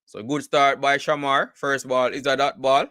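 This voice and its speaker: A man's voice: medium pitch, level tone, moderate pace, sharp tone, smooth, no echo, studio quality. Chatty man commentating cricket live. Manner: chatty, many repeated words and "you know" fillers. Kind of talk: casual live commentary with chat replies.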